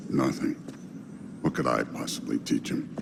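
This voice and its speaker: A massive, gruff thunder god for a game cutscene. The voice is extremely deep and full of gravel, sullen and grudging. Clear background noise surrounds it. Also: male voice, steady tone, measured pace, exaggerated voice. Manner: terse, grudging. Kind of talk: cinematic video game acting.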